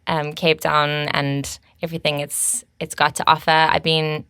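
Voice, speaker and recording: A woman's voice: deep voice, level tone, measured pace, sharp tone, clear, resonant tone, no echo, clean studio sound. A South African woman in her thirties co-hosting a casual podcast, fluent and chatty, with frequent ums and small restarts. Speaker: podcast co-host, agency co-founder.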